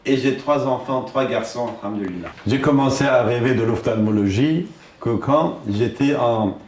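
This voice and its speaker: A man's voice: mid-range voice, moderate pace, a little mellow, a little hiss or hum. An older man from Iran, in his sixties or above, speaks as an eye doctor, explaining things didactically in an interview. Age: 60s or older. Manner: didactic, asks and answers his own questions. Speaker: ophthalmologist. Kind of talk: explanatory interview talk, presenting his work and equipment.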